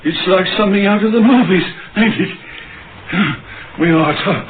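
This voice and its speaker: An actor playing a shaken, deferential working-class caretaker in an old radio crime drama. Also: male voice, sits high, moderate pace, narrow, tinny audio, close to an everyday voice. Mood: shaken, excitable. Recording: noisy, thin old radio recording.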